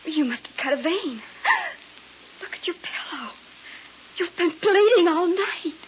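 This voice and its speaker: A woman's voice: very high voice, sing-song, takes it slowly, exaggerated voice. An actress playing an anxious, devoted wife in a vintage radio drama, urgent and worried in her delivery. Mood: alarmed. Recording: dull, hissy vintage radio.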